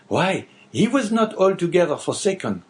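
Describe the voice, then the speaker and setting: A man voicing a bitter, rough innkeeper in an audiobook, speaking in a brooding, earnest way. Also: high voice, big pitch swings, moderate pace, pitched above the performer's own voice. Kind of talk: audiobook character voice.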